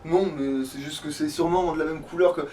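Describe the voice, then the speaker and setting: A man's voice: on the high side, steady tone, fairly quick, warm tone. A man playing a video game and chatting casually about it, with crude, slangy language and swearing. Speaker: let's play gamer. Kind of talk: casual gaming chat. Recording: distant mic with faint background noise.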